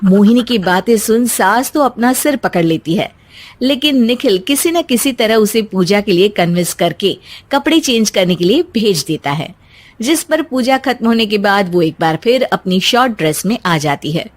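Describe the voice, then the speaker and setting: A woman's voice: medium pitch, animated, moderate pace. A woman narrating a scripted cartoon story as its storyteller.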